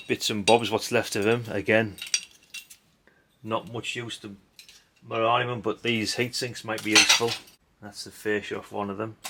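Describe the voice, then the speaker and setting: A middle-aged man chats casually and bluntly as a hobbyist host, rambling in a dry way with tag questions and mild swearing. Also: fairly low pitch. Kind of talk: casual rambling commentary while sorting old electronics. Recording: clinking parts and background noise. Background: clicks and clatter of handled parts.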